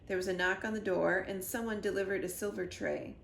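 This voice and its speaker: A woman's voice: medium pitch, fairly even intonation, brisk. A woman reading a children's story aloud expressively, as a library storyteller. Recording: roomy echo with clear background noise.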